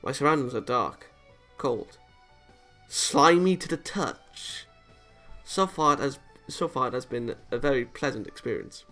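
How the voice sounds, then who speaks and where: A man's voice: mid-range voice, expressive, unhurried, sharp tone, soft background music. A man reading a visual novel aloud in a theatrical storytelling manner, occasionally stumbling over the text. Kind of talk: expressive read-aloud narration of a game.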